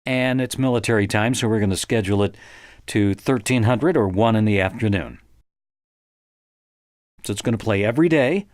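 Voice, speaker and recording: An American man narrating a software tutorial conversationally, explaining step by step in an informal, encouraging way. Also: on the low side, normal speed, long pauses, no room sound, clean studio sound.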